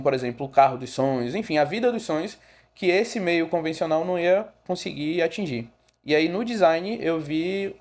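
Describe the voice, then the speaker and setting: A young man from Rio de Janeiro with a Bahian Brazilian accent, a photographer guest telling his story in a relaxed podcast chat with fillers and self-corrections. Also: on the high side. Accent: Brazilian Portuguese, Bahia.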